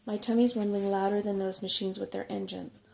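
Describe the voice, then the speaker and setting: A woman voicing a crabby, sharp-tongued female brownie from a storybook, complaining and scornful. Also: medium pitch, flat delivery, a little fast, creaky, close to an everyday voice. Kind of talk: storybook character voice in a soft-spoken reading.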